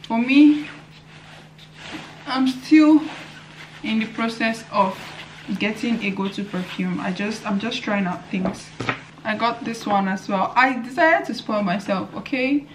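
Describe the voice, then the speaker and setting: A woman's voice: on the high side, unhurried. Young female vlogger, casual and chatty, handheld camera mic, faint room noise. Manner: chatty, laughs often, talks straight to viewers. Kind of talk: casual vlog chat to camera. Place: apartment indoors, later outdoors in a city. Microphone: handheld camera at arm's length.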